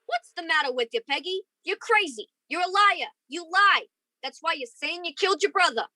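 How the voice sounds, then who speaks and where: A woman's voice: very high-pitched, lively intonation, normal speed, sharp tone, dry recording, clean studio sound, heavily acted. A woman playing a hot-tempered, protective lover in a radio crime drama. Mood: protective, combative. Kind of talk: old-time radio crime drama acting.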